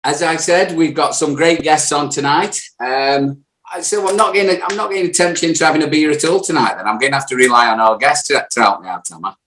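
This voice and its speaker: A man's voice: on the high side, fast, fairly bright tone, reverberant, slight background noise. British man hosting a casual chat show. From United Kingdom. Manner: jokey, teasing banter. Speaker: podcast host.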